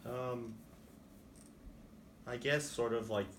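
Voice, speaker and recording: A man's voice: mid-range voice, fairly even intonation, drawn-out words, fairly bright tone. A young man, a native Spanish speaker with a Mexican Spanish accent, answering interview questions casually and hesitantly, with lots of "like". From Texas. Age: young adult. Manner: rambling, many "like" fillers, hesitant. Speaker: interviewee, college student. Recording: distant mic, noisy room.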